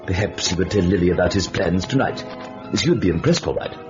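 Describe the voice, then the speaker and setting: A low gloating sinister radio horror narrator, muffled old recording w music behind. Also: male voice, animated, a little fast. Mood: sinister, amused. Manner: sardonic, gloating. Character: sinister, gloating devilish narrator relishing human downfall. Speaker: narrator of the show. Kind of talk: theatrical scripted horror narration.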